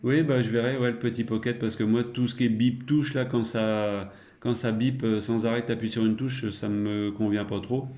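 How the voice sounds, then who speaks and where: A man's voice: medium pitch, steady tone, moderate pace, few pauses, darkish tone. A middle-aged man chatting casually and colloquially as an amateur radio operator. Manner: chuckling, colloquial, trailing tag words. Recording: roomy, echoing room sound.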